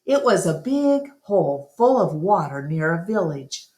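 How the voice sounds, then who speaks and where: A woman's voice: fairly low pitch, big pitch swings, unhurried, darkish tone. A woman plays a young female elephant from a storybook, talkative and eager. The delivery is childlike and earnest, taking its time. The sound is roomy with echo. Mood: earnest, excited. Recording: roomy, echoing room sound.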